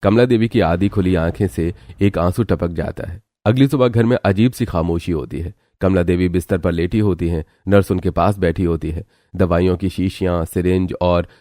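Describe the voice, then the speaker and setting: A man with a very low voice serves as narrator. He delivers scripted story narration. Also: normal speed, dark tone, no room sound, clean studio sound.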